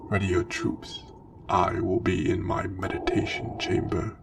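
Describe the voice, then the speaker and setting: A man voicing a menacing armored dark lord who gives formal battle orders in a measured, breathy ASMR roleplay. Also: sits low, completely flat delivery, recorded from a distance, noisy background. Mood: stern, measured. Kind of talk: soft ASMR roleplay, scripted military briefing.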